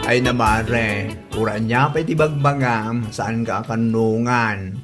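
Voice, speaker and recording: A woman's voice: extremely low pitch, a little slow, over music. A woman playing a blunt, nagging friend in an Ilocano comedy drama. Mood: scolding.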